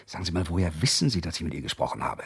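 A persistent, doubtful writer turned investigator, questioning in a very deep, husky voice with gravelly fry. He speaks in a probing, hesitant way, as in a radio thriller. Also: sing-song, racing, exaggerated voice. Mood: suspicious. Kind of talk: German radio crime drama acting.

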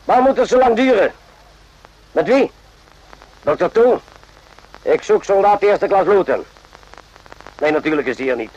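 A man's voice: sits high, animated, normal speed, long pauses, a little mellow, lo-fi sound. A man acting in a radio drama as an irritable, bullying army sergeant who curtly barks questions. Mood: impatient. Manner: curt, impatient, barking questions.

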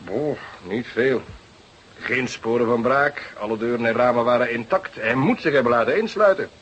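A man's voice: mid-range voice, moderate pace, a bit bright, breathy edge, highs cut off. A man acting an eager, exasperated young police detective in a radio play, theatrical, sarcastic and emphatic. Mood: frustrated.

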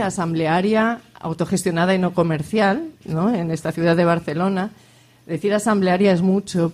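A woman giving an informal speech to present a book, halting as she searches for words. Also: on the low side, a little mellow.

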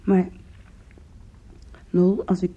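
An actress in a Dutch radio play playing a weary, anguished young woman with a shamed past, confessional, halting and pleading. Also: on the low side, monotone, dark tone, husky, exaggerated voice. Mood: hesitant, tender. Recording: muffled, lo-fi old radio recording.

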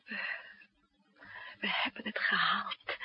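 A woman's voice: takes it slowly, in a whisper, lightly acted. An actress playing an anxious, tender woman with an emotional, hesitant delivery in a 1960s radio drama. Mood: exhausted, relieved. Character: anxious, tender woman hiding a desperate secret. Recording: muffled 1960s radio recording.

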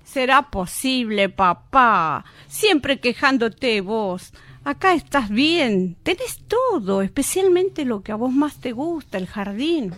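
A woman's voice: a little high, big pitch swings, slow, fairly bright tone, no room sound, exaggerated voice. A woman with an Argentine accent acting as a cheery, fussing adult daughter in a radio drama, effusive and coaxing. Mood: coaxing, upbeat.

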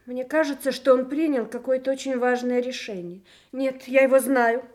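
An actress playing a general's devoted, anxious wife in a Soviet radio play, with an expressive, emphatic manner. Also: female voice, high-pitched, moderate pace, lightly acted. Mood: grave, anxious.

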